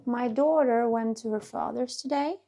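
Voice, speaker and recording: A woman's voice: a little high, normal speed, slight background noise. A woman with a Swedish accent, probably in her thirties, chatting casually to camera while cleaning her kitchen, often searching for words and restarting sentences. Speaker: cleaning vlogger. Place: home kitchen. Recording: music playing underneath. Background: dishes clinking, quiet music.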